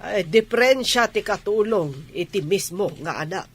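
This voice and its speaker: A woman's voice: medium pitch, animated, moderate pace, husky. A woman acting a well-off mother and employer in an audio drama. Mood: wistful. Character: well-off mother and boss sending her child abroad. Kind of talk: dialogue in an Ilocano audio drama.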